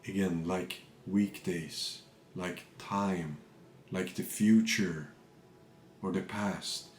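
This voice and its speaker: A man guiding a meditation as a teacher, calm and measured, speaking in short phrases with pauses between them. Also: low-pitched, echoey room, faint music behind. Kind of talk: guided meditation, unscripted spiritual talk.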